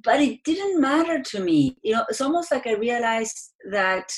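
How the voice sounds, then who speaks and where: A woman's voice: sits high, animated, normal speed, vocal fry. A woman from Argentina with an Argentine accent, a guest answering interview questions in an earnest, reflective way with light laughs.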